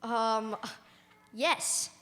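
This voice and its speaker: A shameless scavenging charwoman in a radio play. Her delivery is slow and drawling, with a bright, forceful tone. The hall adds a long reverb. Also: a little high, faint music behind. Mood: brazen. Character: brazen, callous scavenging charwoman. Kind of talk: live radio theater acting.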